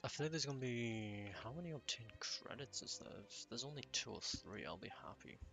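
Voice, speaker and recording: A man's voice: mid-range voice, lively intonation, fairly quick, creaky, fairly bright tone, faint music behind. A man giving casual let's-play commentary in a bored, grumbling manner. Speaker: game streamer.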